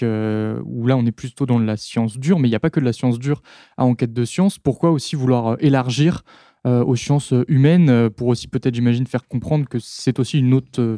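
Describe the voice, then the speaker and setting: A man's voice: mid-range voice, mellow, no room sound, studio quality. A man interviewing guests, asking questions with searching, hesitant phrasing.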